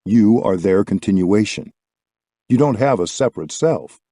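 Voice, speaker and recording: A man reading a scripted spiritual audiobook with measured, deliberate phrasing. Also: fairly low pitch, animated, dark tone, dry recording. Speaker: audiobook reader.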